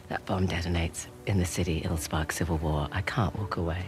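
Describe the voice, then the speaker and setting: A woman's voice: rumbling low, steady tone, brisk, music in the background. A woman playing a weary, determined treasure hunter in her thirties in a video game, speaking in a subdued way. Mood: resolute, weary. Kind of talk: cinematic video game acting.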